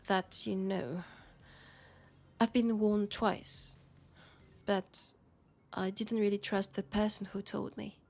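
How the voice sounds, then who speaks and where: Slow-spoken, worried French-accented policewoman, acted by a woman. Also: medium pitch. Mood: somber, confiding. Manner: hesitant, confiding, sighing. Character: worried, disillusioned young policewoman in a dystopian city. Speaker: roleplay audio performer. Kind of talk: intimate sci-fi roleplay acting.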